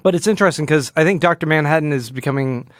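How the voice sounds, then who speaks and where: A man's voice: on the high side, quick pace, no room sound, clean studio sound. Casual slangy male podcast co-host. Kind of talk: casual comic book discussion.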